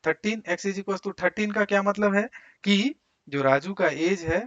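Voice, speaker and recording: A man's voice: high voice, unhurried, a bit bright. A man with an Indian accent teaching school maths step by step, often posing questions and checking along the way. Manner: explains step by step with frequent rhetorical questions and check words. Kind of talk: school maths lecture in Hindi mixed with English. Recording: dull lo-fi recording.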